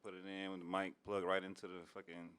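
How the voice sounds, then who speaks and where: A man from Louisiana talks as an up-and-coming guest artist. His voice is very deep and flat. He speaks slowly and low-key, sometimes stumbling over his words. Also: clean tone. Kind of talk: casual interview chat.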